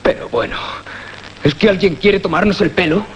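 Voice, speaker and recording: A man's voice: high voice, normal speed, lo-fi sound, slight background noise, natural voice. A man playing an anxious, defensive young student in a radio drama, agitated and full of questions, over music. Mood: alarmed. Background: loud suspense music.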